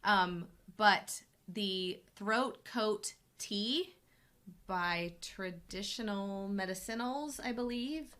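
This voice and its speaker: Chatty health coach woman, bright voice. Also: medium pitch. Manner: chatty, rambling, with many restarts. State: voice still recovering from being sick. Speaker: health coach and show host. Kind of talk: casual live Q&A chat.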